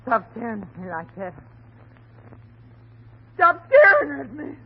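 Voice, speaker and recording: An actress playing a spiteful, catlike, hysterical wife with melodramatic, biting delivery in an old radio horror play. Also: female voice, very animated, a little slow, husky. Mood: panicked. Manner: melodramatic, biting, shrill when roused. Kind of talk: old-time radio horror drama acting. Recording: muffled, noisy old radio recording.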